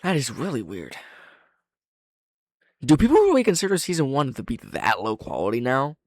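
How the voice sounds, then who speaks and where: A man's voice: mid-range voice, huge pitch swings, moderate pace, no echo, studio quality. A man giving casual, off-the-cuff reaction commentary, often interrupting himself and swearing freely. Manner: hesitant, self-interrupting, frequent swearing. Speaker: reaction host.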